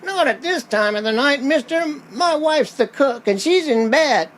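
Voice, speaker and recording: A man's voice: very high voice, animated, moderate pace, fairly bright tone, pitched above the performer's own voice. A man playing a curt, scolding, folksy lunchroom owner in a radio play. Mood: grumpy. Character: gruff, irritable rural male roadside lunchroom owner, married.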